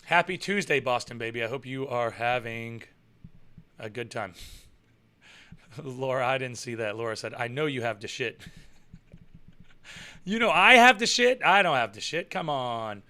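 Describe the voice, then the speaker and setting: A young man chats loosely on a live stream and answers viewers as he goes. His voice is bright and forceful. He rambles, repeats himself and chuckles often. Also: medium pitch, lively intonation, measured pace, pauses often. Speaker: live show host and pro wrestler. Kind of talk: casual live-stream chat reading viewer comments.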